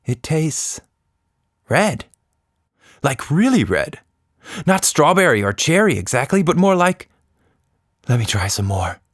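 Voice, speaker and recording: A man's voice: a little high, big pitch swings, takes it slowly, long pauses, no room sound, clean studio sound, pitched above the performer's own voice, exaggerated voice. A man voicing an eager, curious young girl in a children's story.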